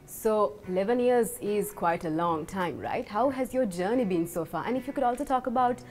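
A woman's voice: medium pitch, expressive, normal speed, darkish tone. A woman, hosting an interview with prepared questions. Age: 20s. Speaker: interviewer, host. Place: large brick-walled room with big windows, indoors. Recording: music behind the voice.

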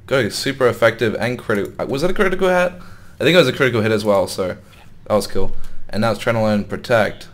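A young man with a fairly low voice talks through a game he is playing, wondering aloud and muttering to himself. His throat is a little sore, and his voice is starting to go. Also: quick pace. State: slightly sore, losing voice. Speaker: gaming YouTuber. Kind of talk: casual gameplay commentary.

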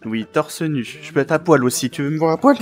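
A man streaming a VR roleplay, joking loudly and laughing often. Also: a little high, fairly quick. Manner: joking, loud, bursts of laughter. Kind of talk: playful in-character roleplay banter. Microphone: VR headset mic.

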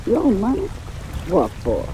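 An actress playing a lonely, drunk woman barfly in an old radio drama, slurring, halting and belligerent. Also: mid-range voice, huge pitch swings. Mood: slurred, suspicious. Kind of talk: 1940s radio drama acting. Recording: old radio broadcast, noisy with rain.

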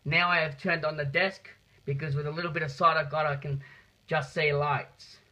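A man giving a step-by-step practical demonstration in a deliberate, halting way, repeating his phrases, picked up by a phone camera in a small room. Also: a little high, flat delivery, bright, forceful tone. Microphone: phone camera held nearby. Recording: muffled phone video sound.

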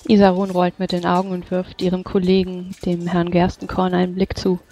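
A woman's voice: lowish voice, monotone, fairly quick, dark tone. A woman narrating her character's actions in the third person in a tabletop game.

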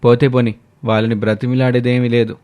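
A man's voice: mid-range voice, flat delivery, fairly quick, darkish tone, lightly acted. Man as a hen-pecked young husband. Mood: dismissive, compliant. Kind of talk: dramatised family moral story.

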